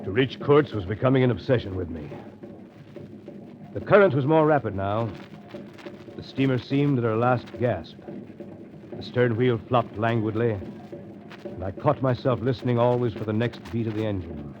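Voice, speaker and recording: An actor playing a weary, sardonic steamboat captain in a 1930s radio drama, with a dry, literary, brooding delivery. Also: male voice, mid-range voice, moderate pace, long pauses, lightly acted. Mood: brooding narration. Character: weary, sardonic male steamboat captain telling his tale. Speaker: actor, narrator. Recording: muffled, noisy 1930s radio recording.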